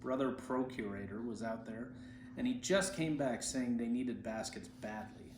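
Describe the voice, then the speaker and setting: A man's voice: mid-range voice, a little slow, lightly acted. Man reading as an aged monk, echoey room. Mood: chatty. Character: aged monk in his seventies, chatty, humble basket weaver. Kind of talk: storybook reading of quoted speech. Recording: roomy echo, faint background noise.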